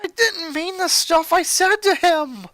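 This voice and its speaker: A woman's voice: very high voice, measured pace, a bit bright, no echo, voice pushed up. A woman voicing a headstrong, guilt-ridden sporty girl in a story reading. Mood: upset, guilty.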